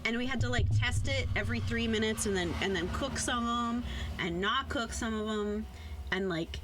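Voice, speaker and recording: A young adult woman chatting casually on a livestream, rambling in an animated way and swearing freely. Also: fairly high pitch, normal speed, noisy background. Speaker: VTuber live streamer. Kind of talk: casual chat on a game livestream.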